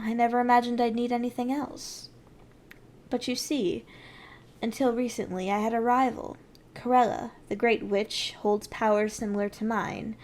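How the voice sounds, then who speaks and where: A woman's voice: on the high side, a little mellow, close to an everyday voice. A woman playing a haughty, ruthless evil sorceress queen in an ASMR roleplay, speaking in an imperious, menacing, condescending way. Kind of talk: scripted ASMR roleplay addressed to the listener.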